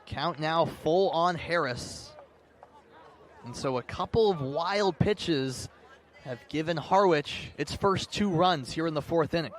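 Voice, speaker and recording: A man doing live baseball play-by-play commentary, narrating pitch by pitch in short, clipped phrases. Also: slow pace. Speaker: play-by-play announcer. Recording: clear outdoor ballpark background noise. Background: outdoor ambient noise.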